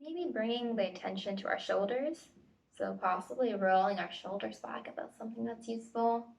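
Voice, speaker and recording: A woman facilitator leading a guided body scan meditation with gentle, tentative invitations and pauses, recorded at home. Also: on the high side, creaky. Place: at home, indoors. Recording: home recording, distant mic.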